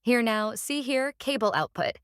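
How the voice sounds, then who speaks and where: A woman hosting a casual step-by-step software tutorial, instructing plainly and often checking in with the listener. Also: a little high, animated, moderate pace, smooth, no room sound, studio quality. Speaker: tutorial host.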